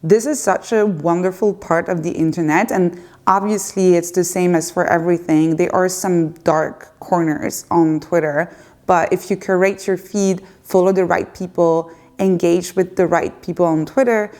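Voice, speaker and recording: A woman's voice: lowish voice, steady tone, normal speed, a little mellow. A woman in her thirties with a French accent, answering viewer questions in a candid, unscripted chat to camera at home, with some hesitations. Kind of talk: unscripted casual Q&A. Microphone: facing a camera.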